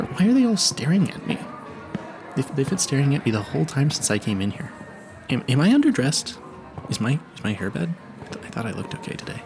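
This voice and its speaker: A man playing an awkward, smitten young vampire hunter in a romantic audio roleplay, stammering nervously and apologizing politely. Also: medium pitch. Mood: self-conscious, apologetic.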